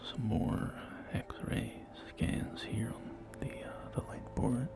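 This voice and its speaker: A man's voice: slow, natural voice. A man whispering in an ASMR roleplay as a courteous, enthusiastic post-apocalyptic real estate agent, with genteel, hesitant sales patter. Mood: soothing, admiring. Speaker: roleplay performer. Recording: music behind close whispering. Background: background music.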